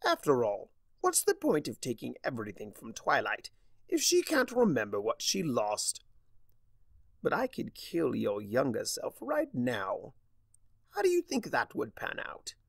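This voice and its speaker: A sly, mischievous spirit of chaos from a fantasy storybook, acted out by a man. The delivery is theatrical and teasing, leaping around in pitch with a gravelly creak, recorded close and dry. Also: wildly swooping pitch, unhurried, dry recording, heavily acted. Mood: menacing, sly.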